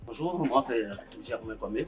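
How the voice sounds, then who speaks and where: A man recounts how his project began, stopping often on filler sounds. His pitch is average for a man, and soft music plays faintly behind him. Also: animated, moderate pace. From Côte d'Ivoire. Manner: hesitant, frequent filler sounds. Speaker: farm co-founder, accountant by training. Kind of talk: interview testimony.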